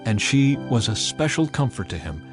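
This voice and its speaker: A man reading scripture as a scripted audiobook narration, with measured, dramatized storytelling delivery. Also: medium pitch, big pitch swings. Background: background music.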